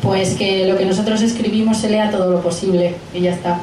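A woman in her late twenties with an accent from Spain talks informally to a gathering. Her voice sits at an average pitch. It comes through an echoey room, picked up from a distance with faint noise. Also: steady tone, brisk. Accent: Spain Spanish. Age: late 20s. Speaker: guest speaker, science and tech journalist. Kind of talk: informal talk and answering audience questions. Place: small pub event room, indoors. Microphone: handheld PA mic, picked up by a camera.

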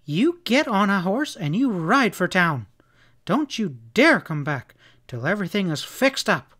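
A man voicing a forceful, urgent young frontier woman in a western storybook, demanding and emphatic. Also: fairly high pitch, moderate pace, voice pushed up, natural voice. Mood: angry, commanding.

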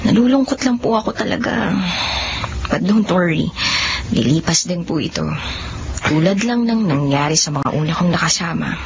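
A woman's voice: on the low side, lively intonation, moderate pace, vocal fry. A woman playing a melancholy, respectful grown daughter in a Filipino radio drama. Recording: muffled, noisy lo-fi track.

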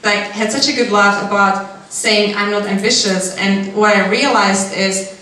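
A woman's voice: mid-range voice, steady tone, a little slow, bright, forceful tone. A young woman from Austria answers audience questions after her talk, candid and thinking aloud as she goes. Her handheld mic is caught from across a large seminar room, so the sound is distant and strongly echoey, with faint room noise underneath. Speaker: guest speaker. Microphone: handheld mic, far camera.

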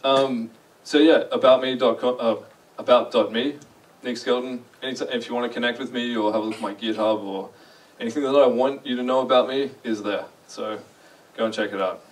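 A young man with an Australian accent talks casually to a conference crowd, joking at his own expense and asking questions. His voice sits at a middle pitch. The recording places him a little back from the mic in a large dark lecture hall. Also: steady tone, brisk, hardly any pauses, far from the mic. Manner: chatty, self-deprecating, asks the audience questions. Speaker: conference speaker.

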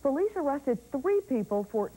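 A woman's voice: high-pitched, very animated, normal speed, mellow, rough recording. A woman reading scripted television news as an anchor and reporter.

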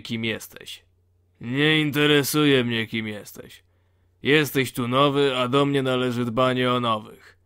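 A man's voice: medium pitch, a bit bright, clear, resonant tone. A man playing blunt, dryly sardonic convicts of a penal colony in a fantasy audio drama.

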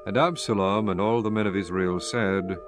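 A man narrating scripture from a script in a measured, solemn storytelling manner. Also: lowish voice, steady tone. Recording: faint music behind the voice.

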